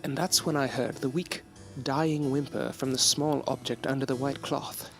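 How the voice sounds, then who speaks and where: A man playing a wistful, educated gentleman narrating his memories in an audio drama, formal and earnest. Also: medium pitch, fairly even intonation, normal speed, faint music behind, natural voice. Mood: wistful, reflective. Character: wistful, erudite gentleman recalling his student days.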